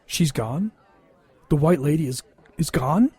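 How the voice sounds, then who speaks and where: A man's voice: on the high side, expressive, a little slow, mellow, breathy edge. A man playing a big, traumatized and resentful younger brother in an audio drama, bitter and wounded in manner. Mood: dazed.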